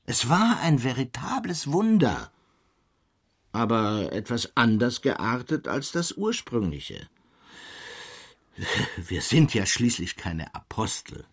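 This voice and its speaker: An actor playing an earnest, irritable middle-aged scholar in a German radio play, speaking in elevated, emphatic, bookish phrases. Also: male voice, mid-range voice, big pitch swings, slow pace, long pauses, fairly bright tone, breathy edge, exaggerated voice. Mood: fervent. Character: earnest, irritable male scholar enraptured by a miracle.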